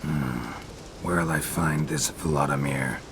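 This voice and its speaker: An actor playing a gruff, weary mercenary monster hunter in a video game, laconic and deadpan, with a gravelly voice. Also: male voice, a bass voice, takes it slowly. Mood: sardonic. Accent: North American. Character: gruff, weary male monster-hunting mercenary, dry and sardonic. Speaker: player character.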